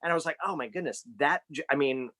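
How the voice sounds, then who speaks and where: A man's voice: a little high, fast, sharp tone. A man from Ohio, a podcast guest, answering questions about his life in a friendly, rambling way. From Ohio, USA. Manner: friendly, rambling, restarts sentences. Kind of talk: casual interview chat.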